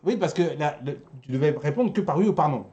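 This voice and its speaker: A man's voice: on the high side, expressive, quick pace, lo-fi sound, crowd noise. A man hosting a casual anime news web show, with jokey, teasing banter. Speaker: host.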